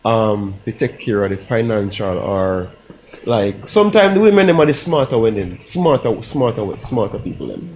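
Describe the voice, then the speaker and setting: A man, explaining his view in a measured way during a casual group debate. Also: on the low side, animated, a little mellow, slight background noise. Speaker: panel guest.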